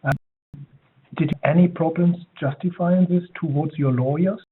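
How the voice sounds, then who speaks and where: A man's voice: on the high side, fairly even intonation, normal speed, a little hiss or hum. Tinny-sounding male webinar moderator. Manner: fluent but loose, occasional fillers. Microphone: web conference audio. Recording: tinny phone-like web call audio with clicks.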